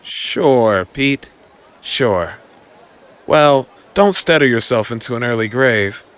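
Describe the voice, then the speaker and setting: A man's voice: medium pitch, lively intonation, takes it slowly, fairly bright tone. A man voicing a teasing, self-assured young college woman in an audio drama, with a dry, breezy manner.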